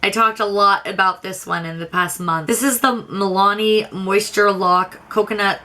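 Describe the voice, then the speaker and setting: A young adult woman beauty vlogger talking casually through product reviews, candid and rambling with frequent restarts, her voice a little raspy. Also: medium pitch, steady tone, moderate pace, bright, forceful tone, a little hiss or hum. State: slightly raspy voice.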